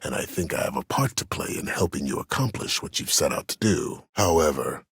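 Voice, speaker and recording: Game villain: disgraced old military schemer, extremely low husky voice, coldly self-justifying, formal and imperious. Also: male voice, animated, a bit bright, dry recording, studio quality, full character voice. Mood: proud, calculating. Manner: measured, formal, imperious, calculating. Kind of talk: dramatic game dialogue.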